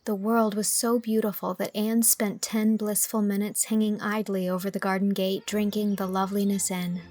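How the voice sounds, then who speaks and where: A woman narrating a literary audiobook, telling the story in a measured, expressive way from a script. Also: medium pitch.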